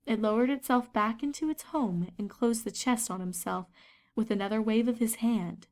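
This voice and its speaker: A woman's voice: on the high side, normal speed, darkish tone. A woman reading scripted story narration as the narrator of a fan fiction.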